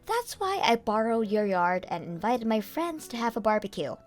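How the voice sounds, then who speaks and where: A woman's voice: fairly high pitch, big pitch swings, moderate pace, soft background music. A woman voicing a brazen, testy, nonchalant female freeloader in a manga dub. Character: brazen, nonchalant woman, freeloading in-law, irritated when challenged. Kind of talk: manga dub character acting.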